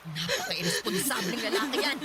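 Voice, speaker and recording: A woman's voice: medium pitch, huge pitch swings, fairly quick, over a noisy crowd. A woman playing a worried, scolding but caring middle-aged mother in a radio drama, exclaiming and asking question after question. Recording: loud background noise.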